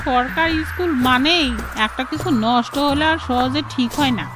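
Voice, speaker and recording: An actor voicing a cheeky, confiding village schoolchild in an animated story. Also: high voice, moderate pace, music in the background, lightly acted.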